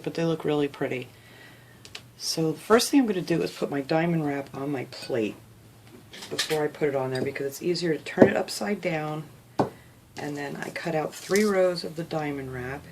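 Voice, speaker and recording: A woman hosting a casual craft tutorial at home, chatting in a relaxed way with lots of "you know", and sounding a little sniffly. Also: low voice, background noise. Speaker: craft video host.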